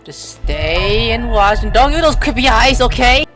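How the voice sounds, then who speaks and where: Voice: mid-range voice, expressive, a little slow, fairly bright tone, soft background music. A gaming video host reacting playfully over gameplay, teasing and exclaiming at the screen.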